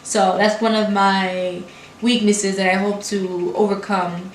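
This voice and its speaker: Young woman, 20s, from Brooklyn, English not her first language; rapid run-on vlog talk with restarts, echoey room. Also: medium pitch, little pitch movement. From Brooklyn, New York. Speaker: graduate student vlogger. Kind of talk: casual talk to camera. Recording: echoey room with faint hiss.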